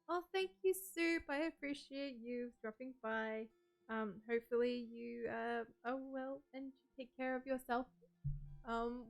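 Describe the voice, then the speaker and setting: A slightly high-voiced woman talking to her stream chat in a loose, rambling way, full of "like" and "um" and little laughs. Soft music plays faintly underneath. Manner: chatty, rambling, frequent "like" and "um", laughs often. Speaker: livestreamer. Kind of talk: casual chat, reading out viewer messages.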